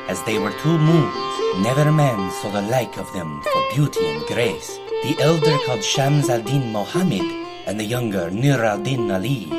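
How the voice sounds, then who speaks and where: A man narrating an old tale in a solemn, formal storytelling manner. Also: mid-range voice. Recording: narration over loud music.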